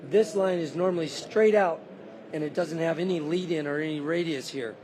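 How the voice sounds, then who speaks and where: A car builder who is losing his voice, calmly giving a detailed walkaround of a custom car on a show floor. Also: a little high, moderate pace, noisy background. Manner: detailed, matter-of-fact.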